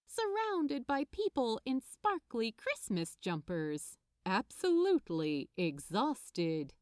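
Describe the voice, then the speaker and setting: A woman reading a story aloud as a narrator, in an expressive, measured storytelling manner. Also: a little high, no echo, studio quality. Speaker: fan fiction narrator.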